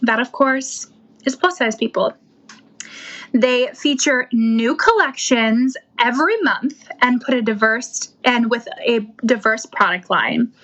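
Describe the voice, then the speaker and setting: A woman's voice: a little high, slow, a bit bright, lo-fi sound. A woman host opening a live show over a video call, chatty and a bit flustered. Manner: chatty, apologetic about fumbling. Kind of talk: show intro.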